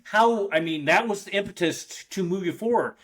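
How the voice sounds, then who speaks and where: A man's voice: high voice, a little fast. A man hosting a podcast interview, asking questions with frequent fillers and short agreeing replies. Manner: friendly, many short backchannels and fillers. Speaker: podcast host. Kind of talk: casual interview.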